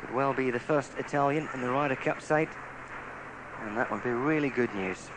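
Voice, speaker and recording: A man's voice: medium pitch, moderate pace. Male golf commentator, noisy broadcast. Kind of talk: live television sports commentary. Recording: noisy satellite TV broadcast audio.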